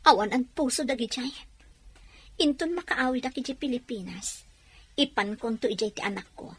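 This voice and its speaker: A woman's voice: fairly high pitch, normal speed, slightly rough. An actress with an Ilocano accent playing a worried, pleading mother who works away from home in a radio drama. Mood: anxious, bitter.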